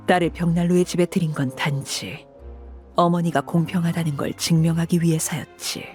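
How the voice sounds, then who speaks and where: A voice actress playing a cold, composed woman commander in a video game, speaking in a measured, detached and authoritative way. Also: fairly low pitch, close to an everyday voice. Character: cold, composed commanding woman harbinger recounting a grim past. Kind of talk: scripted video game voice acting. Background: soft background music.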